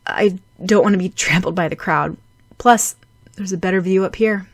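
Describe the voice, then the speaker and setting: A woman's voice: medium pitch, moderate pace, no room sound, natural voice. A woman voicing a playful, self-assured teenage boy in an audiobook, with a teasing manner. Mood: nonchalant. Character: cocky, playful teenage boy, proud showman. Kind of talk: audiobook character voice.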